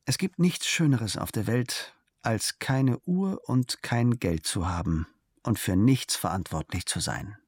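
A man calmly reading a travel diary aloud as scripted narration, measured and unhurried. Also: medium pitch, slightly rough, no echo. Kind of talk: calm scripted literary narration.

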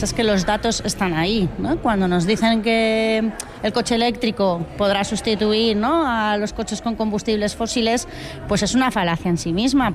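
A woman's voice: medium pitch, slight background noise. A woman giving opinion commentary in a firm, argumentative, matter-of-fact way.

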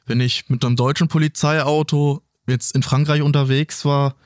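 A man's voice: on the high side, fairly even intonation, moderate pace, no room sound. A man whose first language is French, a police officer, recounting his work plainly and matter-of-factly in a studio interview.

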